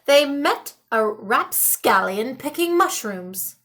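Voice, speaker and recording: High, lively woman reading a storybook. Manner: dramatic, expressive, deliberate pauses. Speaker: storybook reader. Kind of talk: animated children's read-aloud.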